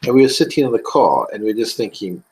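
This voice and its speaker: A man's voice: on the low side, fast talker, breathy edge, no echo, low-quality recording. A man with a South African accent, telling stories in a casual podcast chat and laughing often. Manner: animated storyteller, laughs often. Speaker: co-host.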